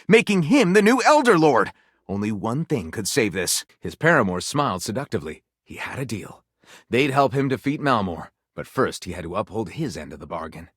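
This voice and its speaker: An overwrought male game narrator spinning lurid fantasy tales. His pitch sweeps widely through theatrical, suspenseful phrases in a scripted storytelling style. The sound is dry, with hardly any room to it. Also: a bit bright, no room sound. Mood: grandiose.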